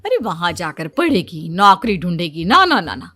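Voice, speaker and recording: A woman's voice: on the low side, very animated, normal speed, pushed and bright, soft background music, heavily acted. A woman playing a brash, scolding, greedy Indian mother in an exaggerated comic story style. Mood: scolding, exasperated.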